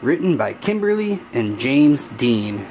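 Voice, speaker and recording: A man reading a children's story aloud in a deliberate, singsong storybook manner. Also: mid-range voice, sing-song, a little mellow, slight background noise, low-quality recording. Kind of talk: scripted children's read-aloud narration.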